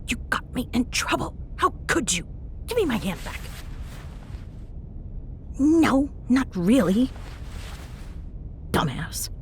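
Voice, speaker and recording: A woman's voice: fairly high pitch, very animated, slow, pauses often, slight background noise, full character voice. A woman playing a young tsundere ghost hunter, teasing, bossy and secretly fond, in an audio roleplay with sarcastic banter. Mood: indignant, mock-angry. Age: young adult. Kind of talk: audio roleplay spoken to a listener.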